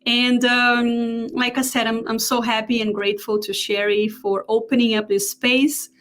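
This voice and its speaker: A woman in her forties from Brazil with a Brazilian Portuguese accent, giving an earnest live online talk as a holistic therapist and pausing often to check her notes. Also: on the high side, little pitch movement, a bit bright.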